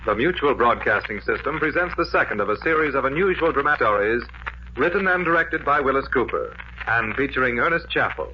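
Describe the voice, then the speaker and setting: A man announcing a radio drama in a formal, measured 1940s broadcast style. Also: mid-range voice, lively intonation. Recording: muffled old radio broadcast, background noise.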